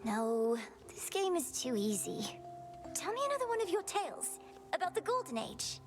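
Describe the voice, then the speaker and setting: Little goddess girl, high voice, lively swings, playful and fond, game music behind. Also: normal speed. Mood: teasing, eager. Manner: eager, innocent, teasing. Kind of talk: video game voice acting.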